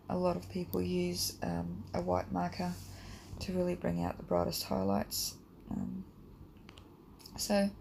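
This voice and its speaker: A woman with a croaky voice from hay fever, teaching a drawing lesson in a relaxed, rambling way with many ums. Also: on the low side, slight background noise, rough recording. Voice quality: croaky, gravelly. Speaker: art teacher. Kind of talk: casual drawing tutorial voice-over.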